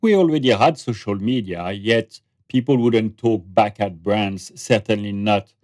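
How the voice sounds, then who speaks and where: Guest spot: French-accented man, low deep voice, reflective podcast guest. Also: unhurried, darkish tone, dry recording, studio quality. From France. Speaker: guest, brand researcher and author. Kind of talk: podcast interview conversation.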